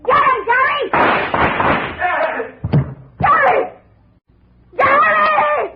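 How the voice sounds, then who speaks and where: Very high-voiced, defiant femme fatale. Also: female voice, very slow, lo-fi sound, heavily acted. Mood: frantic, shouting. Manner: sharp, melodramatic. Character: hard, defiant murderous wife in a crime drama. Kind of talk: 1950s radio drama acting. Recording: distant, echoey, noisy old radio recording.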